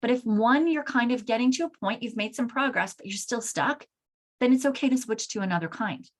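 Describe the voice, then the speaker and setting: A woman's voice: fairly high pitch, animated, brisk. A woman in her forties hosting a solo podcast, talking candidly and earnestly about her own experience, often restarting her sentences. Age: 40s. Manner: candid, earnest, self-reflective, with frequent restarts. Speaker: podcast host.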